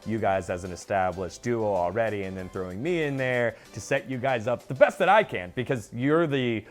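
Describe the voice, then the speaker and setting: A man in his thirties chatting casually and enthusiastically as an esports host, with an upbeat, animated manner. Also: fairly low pitch, normal speed, faint music behind. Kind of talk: casual enthusiastic interview chat.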